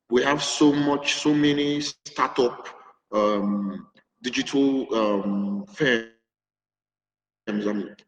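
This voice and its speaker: A Nigerian man whose first language is Igbo answers interview questions on a call, hesitant, with many restarts and fillers. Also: medium pitch. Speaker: interview guest, web developer. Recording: roomy, echoey call audio with long reverb.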